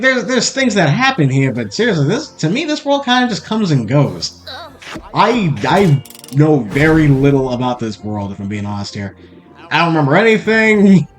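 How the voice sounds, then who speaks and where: Rambling Boston-accented young man. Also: fairly high pitch. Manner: rambling, self-correcting chatter. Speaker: let's play host. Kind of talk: casual gameplay commentary.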